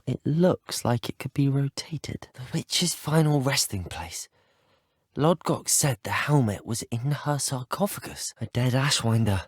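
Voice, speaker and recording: A man's voice: medium pitch, slightly rough, dry recording, studio quality, exaggerated voice. A young man with a British accent playing a polite, earnest, inquisitive teenage student wizard in a video game, courteous and well-spoken. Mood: earnest, intrigued. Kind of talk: scripted video game dialogue voice lines.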